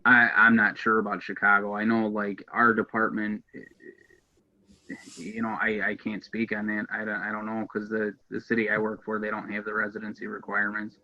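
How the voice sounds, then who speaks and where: A man's voice: fairly low pitch, monotone, normal speed. An American man giving casual interview answers as a podcast guest, earnest and a little hesitant. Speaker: police dispatcher, podcast guest. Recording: dull lo-fi sound.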